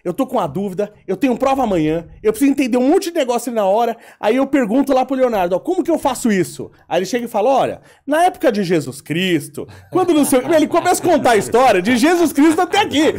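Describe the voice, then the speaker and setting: A man with a high, very lively voice chats on a podcast and rattles along quickly. He explains things by telling stories and acts out both sides of the dialogue. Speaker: podcast guest. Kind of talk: casual podcast chat.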